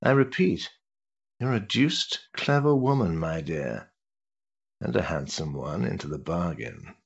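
Actor as animated, husky old duke. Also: male voice, low-pitched, measured pace, dull audio, full character voice. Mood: wry, flattering. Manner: urbane, roguish, patronising flattery with chuckles. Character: worldly older British duke, amused, teasing, scheming uncle. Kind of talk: period stage drama acting.

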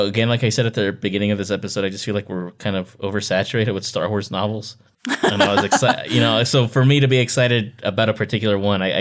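A man co-hosting a podcast, chatting informally about books and repeating himself for emphasis. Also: lowish voice. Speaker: podcast co-host. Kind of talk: casual book discussion chat.

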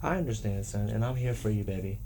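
A man's voice: on the low side, quick pace, creaky, mellow, a little hiss or hum. A man hosting a devotional podcast, speaking affectionately and encouragingly and often repeating his phrases. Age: adult, parent of three. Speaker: podcast host. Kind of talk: encouraging devotional talk with children.

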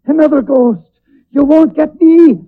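An actor playing a frantic, murderous cornered villain in a 1940s radio drama, agitated and stammering. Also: male voice, very high voice, a little slow. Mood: frantic, threatening. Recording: tinny narrow-band old radio recording.

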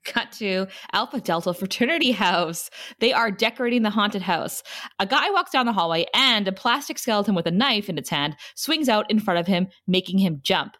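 A woman narrates a script's stage directions in a casual, podcast-host way. Her voice is medium in pitch and very animated, rising and falling freely. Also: normal speed.

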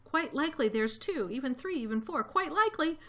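Lady doing a sweet earnest storybook elephant, kinda high bright voice, really means every word. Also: lively intonation, a little fast. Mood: insistent. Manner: pleading, emphatic. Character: big, kind-hearted, earnest elephant, protective and stubborn.

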